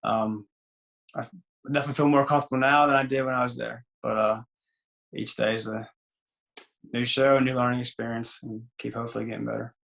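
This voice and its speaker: Raspy young man, gravelly and rambling. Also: medium pitch, little pitch movement, fast talker, pauses often, a bit bright, low-quality recording. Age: 20s. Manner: rambling, loose, with many fillers and restarts. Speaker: interview guest, singer and former college baseball player. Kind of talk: casual interview chat.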